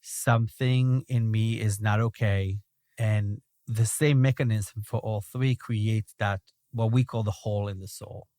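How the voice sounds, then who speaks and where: A man's voice: on the low side, steady tone, measured pace, warm tone, no room sound, studio quality. A man from Belgium with a Belgian accent, a rabbi talking as a podcast guest in a discursive way, often checking in with "right?". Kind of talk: reflective conversational interview.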